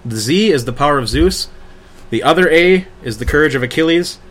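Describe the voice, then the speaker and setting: A man's voice: on the high side, expressive, a little fast, fairly bright tone. A man hosting a casual fan discussion, agreeable and steering the talk toward verdicts. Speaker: podcast host.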